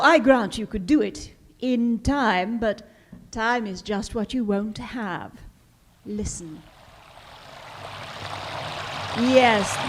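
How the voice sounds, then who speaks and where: A woman performs a criminal mastermind in an old-time radio mystery. The delivery is slow and deliberate, coolly polite and full of taunting menace. The voice sits a little high, with clear noise behind it in a live recording. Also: a bit bright. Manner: deliberate, taunting, savoring each threat. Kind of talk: old-time radio drama acting, live stage reading.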